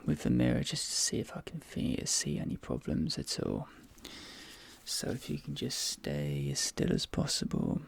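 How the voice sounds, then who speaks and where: A man softly whispering and murmuring in a soothing, reassuring ASMR dentist roleplay, with breathy delivery and hesitant pauses, close to the microphone. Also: mid-range voice. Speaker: ASMR performer role-playing a dentist. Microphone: close microphone, dry.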